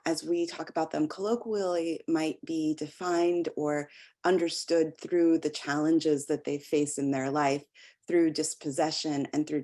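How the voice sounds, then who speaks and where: Low-voiced American woman lecturing. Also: mellow. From United States. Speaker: academic researcher giving a lecture. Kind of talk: lecture with slides.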